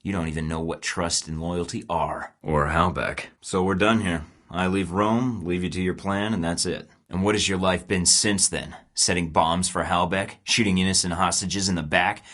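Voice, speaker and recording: A man's voice: low voice, fairly even intonation, normal speed, few pauses, fairly bright tone. An actor playing a wisecracking young male rogue spy in a video game, sarcastic and taunting. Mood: sardonic. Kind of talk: game voice lines.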